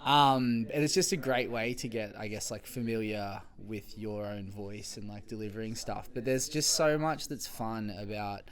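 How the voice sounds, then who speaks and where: A man in his thirties, chatting casually as a podcast guest, full of filler words and quick laughs. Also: lowish voice, fairly even intonation. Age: 30s. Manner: laid-back, lots of filler likes, laughs often. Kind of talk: casual chat.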